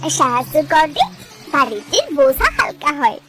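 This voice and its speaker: A woman's voice: sits high, lively intonation, moderate pace. A woman voicing a kind, helpful young village woman in a cartoon, with an eastern Bengali rural dialect and a caring, encouraging manner. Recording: loud music behind the voice.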